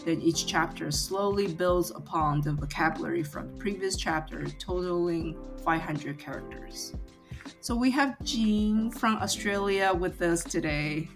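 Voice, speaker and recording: A woman's voice: lowish voice, measured pace. A woman hosting a podcast, reading an introduction and then asking questions. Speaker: podcast host. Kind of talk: scripted introduction then interview. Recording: music bed under voice.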